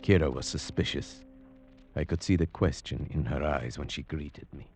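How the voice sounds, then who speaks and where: A man acting an earnest, haunted narrator-hero in a vintage radio suspense drama, with measured, grave, theatrical delivery. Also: rumbling low, creaky, darkish tone, slight background noise. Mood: grave, suspenseful. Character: earnest male narrator-hero haunted by dread, foreign gentleman.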